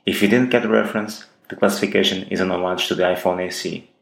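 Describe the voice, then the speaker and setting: A man's voice: low voice, fairly even intonation, gravelly. A man with a Russian accent hosting a casual tech podcast, joking and often restarting his sentences. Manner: halting, jokey, many restarts. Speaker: podcast host.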